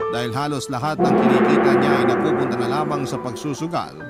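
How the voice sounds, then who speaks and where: A man with a mid-pitched voice narrates a scripted first-person life story for a radio drama. Loud music plays behind him throughout. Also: fairly even intonation, fast talker. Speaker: drama narrator reading a letter sender's story.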